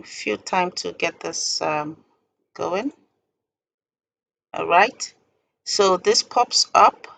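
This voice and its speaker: A woman presenting an informal step-by-step software tutorial in a halting, deliberate way. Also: on the low side, vocal fry, a little hiss or hum.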